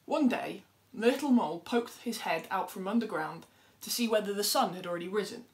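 A young woman reading a children's picture book aloud in an expressive way. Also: medium pitch, fairly quick. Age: young adult. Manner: expressive, acts out dialogue. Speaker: storyteller. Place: plain indoor room at home.

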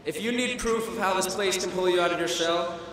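A man's voice: high-pitched, brisk, fairly bright tone, slight background noise. Young man giving a wry speech in a big echoing hall. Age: young adult. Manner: wry, self-deprecating, timed for laughs. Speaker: student commencement speaker. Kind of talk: humorous graduation speech. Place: large auditorium stage at a podium. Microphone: podium microphone. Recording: echoey hall through a PA system. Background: audience laughter and hall ambience.

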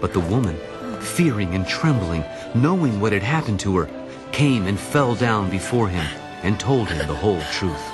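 A man narrating a dramatized audio Bible in a solemn, deliberate storytelling manner. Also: lowish voice, big pitch swings, over music.

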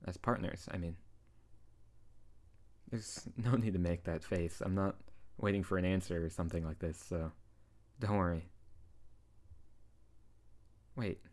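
A low-pitched tsundere wizard, acted by a man, in an intimate ASMR audio drama. He teases his beloved and comforts them softly, with an affectionate, slightly hesitant manner. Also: fairly even intonation, measured pace, pauses often. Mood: shy, hopeful. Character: tsundere male wizard, teasing, tender best friend turned lover.

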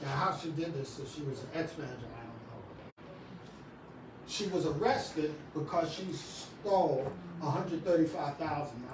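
A middle-aged man preaching a sermon in a small church, swinging from shouts to quiet talk with many restarts. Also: a little high, unhurried, background noise. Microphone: far camera. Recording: echoey room, far from the camera.

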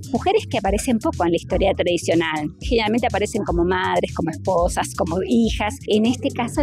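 A middle-aged woman from Argentine Patagonia talks about her work in an even, storytelling way, recorded at a book fair hall. A clear music bed runs under her voice throughout. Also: medium pitch. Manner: explanatory, storytelling. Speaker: author interviewed at a book fair. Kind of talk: interview soundbite. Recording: news package with music under.

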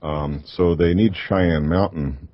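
A man from the United States explains things in a measured way, with plenty of fillers. His voice is very deep and husky. It comes through dull, dry call audio. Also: dry recording. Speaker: radio guest. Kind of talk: casual roundtable chat.

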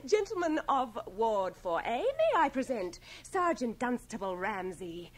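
An actress playing a tender, teasing young English volunteer nurse in a radio drama, poised and brisk. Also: female voice, fairly high pitch, big pitch swings. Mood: playful, proud. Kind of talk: 1980 radio drama acting.

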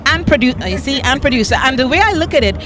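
A woman interviewing a guest at an outdoor festival, effusive and a bit halting, often restarting her phrases into a handheld mic. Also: sits high, lively intonation, noisy background. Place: outdoor park festival grounds. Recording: music in background outdoors.

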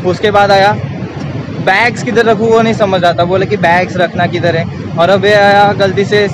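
A man's voice: high-pitched, steady tone, normal speed, noisy background. A young Indian man with an Indian accent, vlogging casually to a phone held at arm's length as he walks outdoors. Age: young adult. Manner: chatty, talks straight to a handheld camera while walking. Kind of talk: casual walking vlog. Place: outdoors on city streets and inside a college building.

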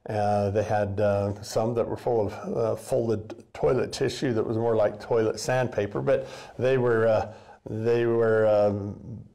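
A man's voice: lowish voice, steady tone, a little slow, gravelly, mellow. An older man, a former custodian, giving a casual guided tour of an old school basement in a rambling, folksy way with many fillers and restarts. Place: basement boiler room of an old school building.

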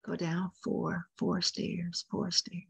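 Slow whispery meditation guide woman. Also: on the low side, level tone, vocal fry, slightly rough, no room sound. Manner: slow soothing guidance with soft whispered repetitions.

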